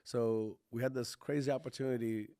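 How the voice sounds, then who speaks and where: A male podcast guest from Kazakhstan, non-native in English, telling his story in loose, halting steps, close and dry as if across a small studio table. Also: medium pitch, steady tone, dark tone, no echo. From Kazakhstan, grew up partly in Texas. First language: not English. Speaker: podcast guest, tech entrepreneur. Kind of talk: casual interview chat.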